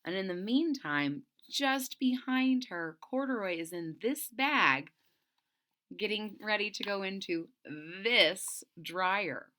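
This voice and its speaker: A woman's voice: mid-range voice, expressive, slow pace, sharp tone. A woman reading a children's story aloud expressively and retelling it in simple words.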